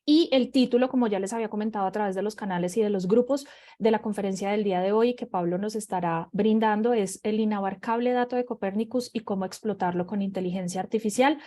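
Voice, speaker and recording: A woman's voice: mid-range voice, fast talker, dark tone. A woman moderating an online conference, welcoming and introducing the speaker in a friendly way.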